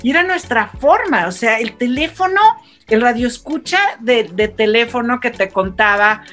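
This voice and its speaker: A woman's voice: a little high, moderate pace. A woman radio broadcaster in a casual panel chat, telling stories and acting out quoted remarks. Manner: animated storyteller, acts out quoted remarks.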